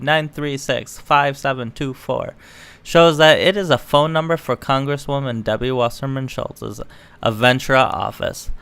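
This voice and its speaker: A man's voice: medium pitch, a bit bright, smooth. A man reading news articles aloud and adding casual, sarcastic, opinionated commentary as an online political commentator. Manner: sarcastic, mocking.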